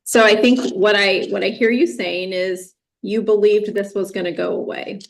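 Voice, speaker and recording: Firm, measured woman judge. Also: mid-range voice, steady tone, creaky, poor audio. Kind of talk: courtroom ruling. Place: courtroom.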